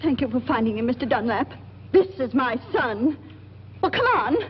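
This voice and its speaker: A woman's voice: fairly high pitch, expressive, normal speed, slight background noise, lightly acted. An actress playing an anxious, scolding mother in a 1940s film, fretful and nagging. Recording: dull old film soundtrack with music.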